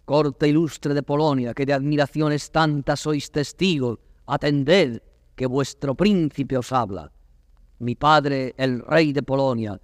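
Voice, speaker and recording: A man's voice: on the high side, lightly acted. An actor playing a proud young prince who addresses his court in classical Spanish verse, declaiming with a Castilian accent. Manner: declamatory, stately oratory. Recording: reverberant hall, vintage studio drama record.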